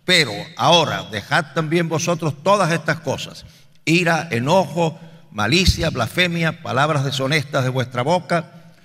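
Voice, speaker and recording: Bright-voiced male preacher in echoey hall. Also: fairly high pitch, expressive, normal speed. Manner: didactic, emphatic, repeats key phrases for stress. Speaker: preacher teaching a Bible study. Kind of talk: expository sermon with scripture reading. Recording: reverberant hall with long echo.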